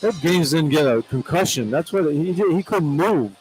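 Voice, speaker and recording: Male basketball color analyst, fairly high voice. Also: expressive, moderate pace, a little mellow, soft background music, poor audio. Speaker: radio color commentator. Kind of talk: conversational live basketball analysis. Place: courtside in a basketball arena. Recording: faint arena noise behind.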